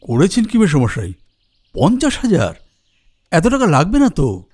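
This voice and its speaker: An actor playing a helpful, practical younger family acquaintance in an audio drama, brisk and familiar. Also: male voice, a little high, huge pitch swings, a little mellow, husky, heavily acted. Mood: surprised, obliging. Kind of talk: Bengali audio horror story acting.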